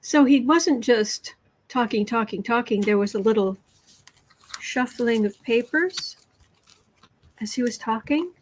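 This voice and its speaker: A woman's voice: a little high, a little slow, long pauses. Chatty, sarcastic woman streamer. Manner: chatty and sarcastic, with frequent restarts and throat-clearing. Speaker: host of a political tarot livestream. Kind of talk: casual livestream commentary while reading cards and chat.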